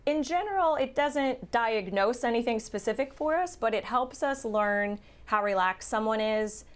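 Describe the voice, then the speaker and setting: A woman who studies the brain, calmly explaining her research to an interviewer. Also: a little high, moderate pace. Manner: calm, patient, explanatory.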